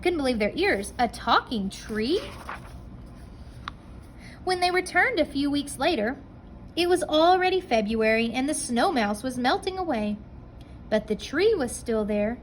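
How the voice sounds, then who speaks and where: A woman reading a picture book aloud to children, pausing to point young listeners to the pictures. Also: sits high, expressive, slight background noise.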